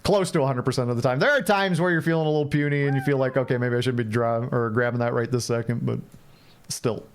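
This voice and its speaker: A man's voice: medium pitch, fast, a little hiss or hum. A man with a mid-range voice streams a game and talks his way through it. His delivery is casual and playful, often repeating phrases as he thinks aloud and chats with viewers. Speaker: game livestreamer. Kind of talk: casual live gameplay commentary, chatting with viewers.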